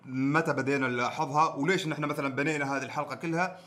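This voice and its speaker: A man's voice: fairly high pitch, steady tone, very fast, a bit bright. A man with an Omani Arabic accent chatting casually as a podcast co-host, repeating words for emphasis as he makes his points. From Oman. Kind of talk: casual chat and debate.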